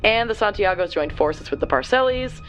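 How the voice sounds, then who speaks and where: A woman plays a brash young tech whiz on a superhero team in an audio drama. She explains things in a wry, slangy way at a medium female pitch. Soft music sits underneath. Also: expressive, a little fast, natural voice. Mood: wry, briefing. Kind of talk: audio drama acting, conversational exposition.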